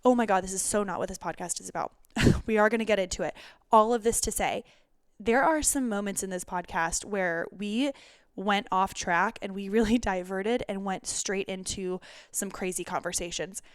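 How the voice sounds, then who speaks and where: Chatty woman podcast host, smooth voice. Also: medium pitch, normal speed, no room sound, studio quality. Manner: chatty, rambling, self-interrupting. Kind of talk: solo intro then interviewing.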